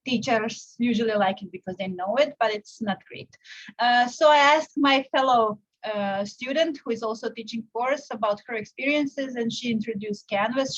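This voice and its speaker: A young woman from Serbia giving a live online software tutorial, explaining step by step with frequent fillers and restarts. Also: on the high side, highs cut off. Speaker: teacher presenting a software tutorial. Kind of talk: live tutorial with screen share.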